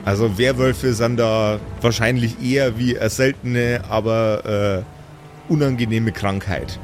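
A man's voice: mid-range voice, fairly even intonation, measured pace, soft background music. A man game mastering an improvised tabletop session in casual, slangy talk with dry exaggeration. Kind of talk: casual improvised tabletop role-play banter.

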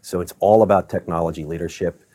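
A middle-aged man from Baltimore, a tech company chief, answering interview questions in a measured way with many fillers and restarts. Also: deep voice, fairly even intonation, mellow, dry recording. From Baltimore, Maryland. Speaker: tech company CEO guest.

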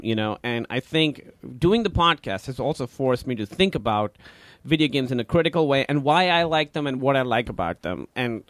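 A man's voice: mid-range voice, fairly bright tone, dry recording. A man with a Pakistani accent, a stand-up comedian talking candidly as a guest in a casual conversation, with frequent fillers.

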